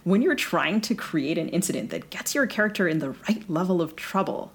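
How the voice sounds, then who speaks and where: Female podcast co-host, distinctly low voice, casual bookish interview style, builds up long winding questions. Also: lively intonation, brisk.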